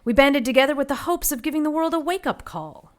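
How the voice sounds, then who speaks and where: A woman's voice: high-pitched, animated, quick pace. An actress playing a stern, zealous Mother Superior in an audio drama, speaking with grave, formal insistence.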